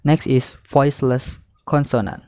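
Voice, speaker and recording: A young man presents a scripted lesson slowly and carefully, often word by word. His tone is mellow, and the recording is dry and somewhat dull. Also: medium pitch, dry recording. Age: young adult. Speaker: student presenter. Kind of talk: scripted lesson narration, reading slides. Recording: muffled, dull lo-fi audio.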